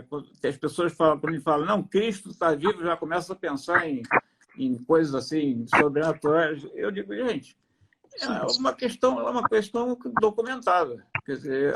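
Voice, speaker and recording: A man's voice: fairly high pitch, gravelly. A man with a Brazilian Portuguese accent giving a faith testimony on a live stream, hesitant with many restarts, then emphatic. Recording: noisy live-stream audio.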